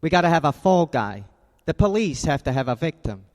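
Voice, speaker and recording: An actor in a radio drama playing a tough, cool, wisecracking private detective with clipped, slangy, hard-nosed delivery. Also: male voice, a little high, sing-song, fairly quick, long reverb tail. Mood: cool, bargaining. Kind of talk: hardboiled radio drama acting.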